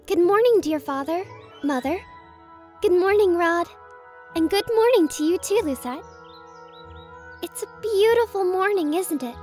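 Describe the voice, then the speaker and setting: A very high, girlish voice of a sweet, effusive young princess, acted by a woman. She speaks politely and gushingly, as in a fairy-tale game, with faint music behind her. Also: lively intonation, a little slow, long pauses, a little mellow. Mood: sweet, cheery. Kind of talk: visual novel fan voiceover.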